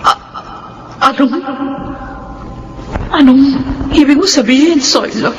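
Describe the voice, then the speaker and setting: A woman's voice: high-pitched, takes it slowly, muffled recording, background noise. A woman playing a stern but caring middle-aged Filipino mother with a painful past, in an earnest radio drama style. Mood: desperate pleading. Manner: emotional, earnest, admonishing. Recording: music under the voice.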